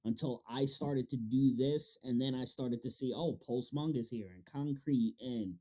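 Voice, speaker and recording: A man's voice: mid-range voice, a little slow, warm tone. A man hosting a casual interview, speaking in an informal, slangy way. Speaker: interviewer, show host.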